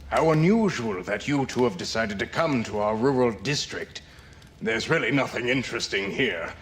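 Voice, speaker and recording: An actor playing a guarded older caretaker and former sailor in a game cutscene, speaking in a measured way. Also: male voice, mid-range voice, lively intonation, a bit bright, natural voice. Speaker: game character.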